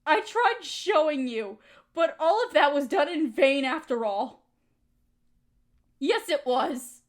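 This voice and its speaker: A woman's voice: high voice, animated, takes it slowly. A young woman acting a hurt, resentful friend in a dramatic audio roleplay, speaking in an emotional, accusing way. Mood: angry, hurt.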